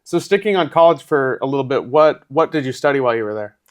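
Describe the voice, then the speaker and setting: A man's voice: medium pitch, lively intonation, fast. Man asking loose interview questions. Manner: friendly, rambling questions with fillers. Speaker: podcast host.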